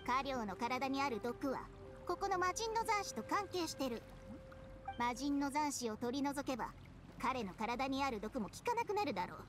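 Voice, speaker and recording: A small, wise snake spirit from an anime game. The voice is grave and matter-of-fact, delivering blunt truths with weight, over clear background music. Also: female voice, high-pitched, sing-song, moderate pace. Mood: solemn, blunt. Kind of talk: Japanese anime game acting.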